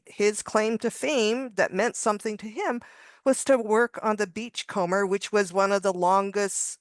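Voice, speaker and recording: A woman's voice: medium pitch, clear, resonant tone, dry recording, clean studio sound. A woman contributing author on a video panel, telling a story conversationally, with frequent restarts and small laughs. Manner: rambling with many restarts and laughs. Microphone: video call.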